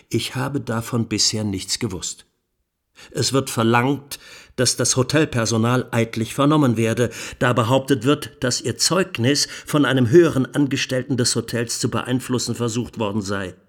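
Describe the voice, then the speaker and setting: A man acting as an indignant male lawyer delivers a courtroom plea in a radio drama. His is deliberate and rhetorical, in a mid-pitched voice. He leans on key words and leaves pointed, sardonic pauses. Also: natural voice. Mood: dry, sardonic. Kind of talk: courtroom speech in a radio play.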